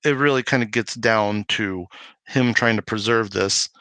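A man's voice: fairly low pitch, unhurried, bright, forceful tone, dry recording. A man, a podcast guest, talking casually and anecdotally, rambling as he retells things and acts out bits of dialogue. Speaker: podcast guest, homebrewing video maker. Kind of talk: casual anecdotal interview talk.

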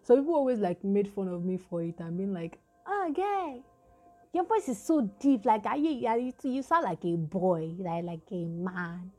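Deep-voiced Nigerian young woman storyteller. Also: normal speed, faint music behind. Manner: animated storytelling with quoted dialogue. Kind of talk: casual chat.

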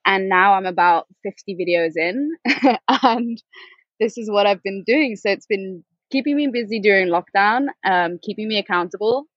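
A woman's voice: medium pitch, normal speed, no room sound, highs cut off. A woman in her thirties from Spain, talking in a chatty, rambling way as a podcast guest. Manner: chatty, rambling, with frequent ums and laughs. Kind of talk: conversational interview.

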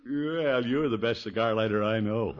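A man's voice: lowish voice, normal speed, lightly acted. A man playing a pompous but bashful middle-aged bachelor uncle, hesitant and courtly, in 1950s radio comedy. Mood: bashful, smitten. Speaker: lead actor in a radio sitcom. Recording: noisy, dull old 1950s radio recording.

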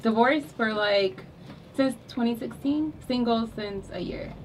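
A woman's voice: on the high side, a little slow, recorded from a distance, slight background noise, low-quality recording. A woman in her thirties, a returning guest, speaking in a matter-of-fact way. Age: 30s.

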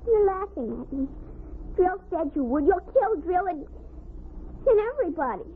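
An actress playing an earnest, excitable little girl in a 1950 radio drama, breathless and insistent, stumbling over big words. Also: very high voice, normal speed, full character voice. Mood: earnest, excited. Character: excitable, earnest little girl obsessed with secret invasion game. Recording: muffled, noisy old radio recording.

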